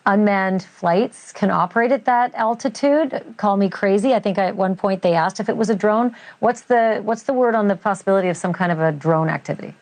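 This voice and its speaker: A woman's voice: mid-range voice, brisk, hardly any pauses, darkish tone. A woman hosting a news interview in a conversational style, putting questions to a guest.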